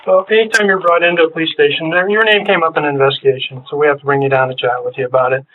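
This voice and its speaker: Fast-talking detective on a tinny tape. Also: male voice, fairly even intonation, slight background noise. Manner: casual, friendly questioning. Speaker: police detective. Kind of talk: interrogation small talk. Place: police interview room. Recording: tinny low-fidelity room recording.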